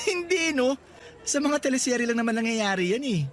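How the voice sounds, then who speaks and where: A man's voice: very high voice, normal speed, soft background music. A man playing a cheeky, friendly young co-worker who jokes at his own expense in radio drama dialogue. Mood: boastful, teasing. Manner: playful, teasing, animated. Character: cheeky, friendly male co-worker, self-mocking joker. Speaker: drama voice actor.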